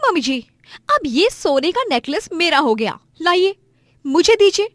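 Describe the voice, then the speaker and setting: Woman acting a shrill greedy young wife. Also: big pitch swings, a little slow, fairly bright tone, no echo, full character voice. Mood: brazen. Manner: haughty, demanding, scolding.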